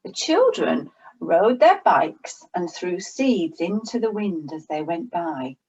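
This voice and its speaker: A woman reads a children's picture book aloud. She takes her time, letting her pitch rise and fall expressively, and her voice is a little breathy. The recording sounds somewhat dull. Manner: slow, expressive storytelling. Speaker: storyteller. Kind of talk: reading a children's story aloud.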